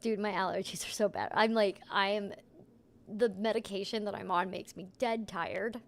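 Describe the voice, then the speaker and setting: A young woman with a hoarse, soft voice she cannot project, chatting casually while playing a game and rambling through explanations. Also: medium pitch, fairly even intonation, moderate pace. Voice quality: soft, unprojected voice. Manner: rambling, explains with many "like"s and "right?". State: hoarse, losing her voice. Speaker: voice actor streaming gameplay. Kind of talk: casual gameplay chat.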